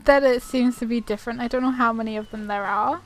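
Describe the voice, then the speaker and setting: A woman's voice: on the high side, steady tone, fast talker. A woman doing casual video game playthrough commentary, chatty and self-deprecating as she thinks aloud. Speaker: gaming YouTuber.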